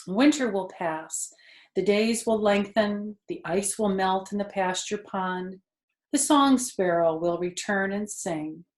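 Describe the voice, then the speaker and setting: A woman voicing a tired, wise, motherly female spider in a children's storybook, speaking calmly and gently in a measured way. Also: medium pitch, roomy sound, lightly acted. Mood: tired, peaceful. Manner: calm, gentle, measured, matter-of-fact. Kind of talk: children's storybook character reading.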